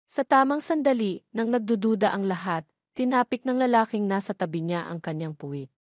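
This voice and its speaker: A woman's voice: mid-range voice, animated, brisk, no room sound, studio quality. A woman reading scripted narration of a romantic story, as a storyteller.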